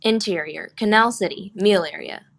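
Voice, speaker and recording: Mid-pitched woman narrating a screenplay. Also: normal speed, vocal fry, fairly bright tone. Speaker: narrator of stage directions. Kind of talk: scripted screenplay narration at a table read.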